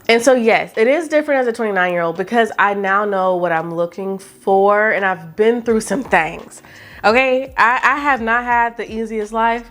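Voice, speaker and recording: Chatty woman in her late twenties. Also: medium pitch. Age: 20s. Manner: chatty and animated, with frequent "okay?" asides. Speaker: YouTuber. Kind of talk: casual chat to camera.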